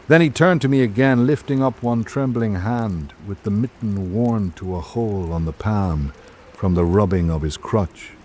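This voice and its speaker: A man playing a reflective traveller, narrating an island visit in measured literary prose for a radio drama. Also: on the low side, a little mellow, slight background noise.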